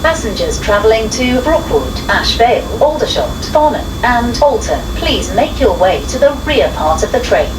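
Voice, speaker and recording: A woman reading scripted train announcements in a measured, clearly enunciated way over a train's speakers. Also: fairly high pitch, big pitch swings. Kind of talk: scripted public transport announcements. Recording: echoey, distant train recording with loud noise. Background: loud train and carriage noise.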